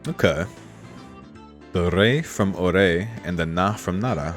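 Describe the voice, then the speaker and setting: Guy streamer, deep voice, reading visual novel out loud n chatting casually, faint music under it. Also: takes it slowly. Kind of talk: reading aloud and casual commentary.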